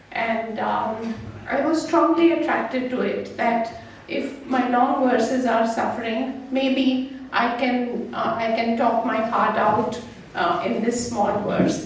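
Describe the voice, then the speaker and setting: A middle-aged Indian woman with a Punjabi-tinged English accent addresses a gathering at a poetry reading. Her voice sits a little high and comes with many pauses and fillers. It is heard from a distance in a very echoey hall. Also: slight background noise. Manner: halting, many fillers, mixes in Hindi. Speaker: haiku poet giving a reading. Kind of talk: speech at a public reading. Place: club function hall. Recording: echoey hall, mic far off.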